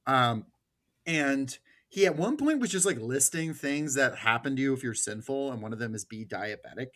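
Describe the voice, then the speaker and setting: A man's voice: mid-range voice, animated, moderate pace, a bit bright. A man hosting a podcast, talking informally and slangily in a casual style of political chat and storytelling. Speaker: podcast host.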